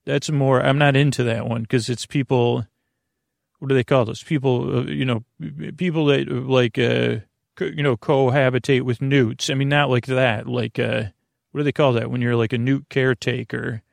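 A man hosting a bedtime podcast in a rambling, halting monologue, trailing off, digressing and circling back to his point. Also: mid-range voice, steady tone, gravelly, dry recording, studio quality. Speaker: podcast host.

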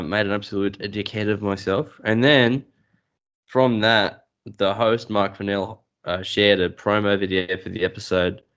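Dry-humoured Australian man, smooth low voice. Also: a bit bright, dull audio. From New South Wales, Australia. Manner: dry self-deprecating humour. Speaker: podcast guest. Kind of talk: casual interview chat.

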